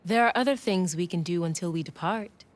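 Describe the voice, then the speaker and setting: A woman's voice: fairly low pitch, brisk, close to an everyday voice. Earnest young warrior monk played by a woman. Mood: polite, eager. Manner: earnest, clear storytelling. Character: earnest, confident young female monk warrior and youth leader. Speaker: youth leader. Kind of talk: animated game cutscene narration and dialogue.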